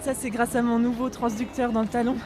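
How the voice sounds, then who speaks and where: A woman's voice: on the high side, fairly quick, some chatter behind, lightly acted. A woman acting an enthusiastic, chatty dancer in an audio drama dialogue. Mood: excited. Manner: excited, chatty. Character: enthusiastic woman dancer who senses distant vibrations. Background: music.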